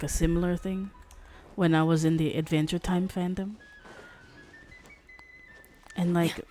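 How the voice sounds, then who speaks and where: A woman streamer chatting casually as she games, in a halting, rambling way, with music behind her. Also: on the low side, dark tone. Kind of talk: casual livestream chat while gaming.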